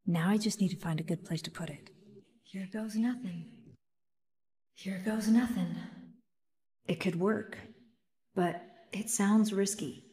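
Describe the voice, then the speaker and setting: A woman voicing an earnest, resolute young heroine in a video game, with emotive, deliberate delivery. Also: medium pitch, dark tone, long reverb tail, lightly acted. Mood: focused, cautious.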